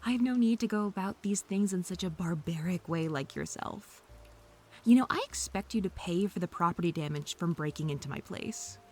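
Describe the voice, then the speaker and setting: A woman's voice: on the low side, moderate pace, a little mellow, natural voice. A woman playing a snarky, defensive female hacker under interrogation in an audio drama, sarcastic and indignant.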